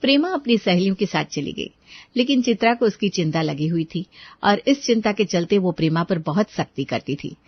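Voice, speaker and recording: A woman reading scripted storytelling narration for an animated moral tale. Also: medium pitch, normal speed, breathy edge, no echo, muffled recording.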